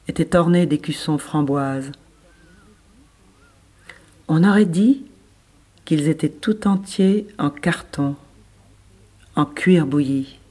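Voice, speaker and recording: A man reading literary prose as scripted narration, with measured, deliberate phrasing. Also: fairly high pitch, darkish tone. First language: French. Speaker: reader of literary text.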